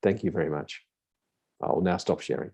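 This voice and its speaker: A man's voice: deep voice, brisk, creaky, dark tone, husky. A man closing his keynote with formal, effusive thanks on a video call. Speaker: keynote speaker.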